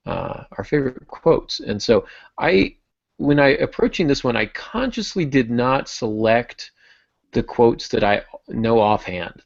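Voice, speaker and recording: Low, creaky-voiced male podcast host. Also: no room sound, highs cut off. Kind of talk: casual podcast discussion.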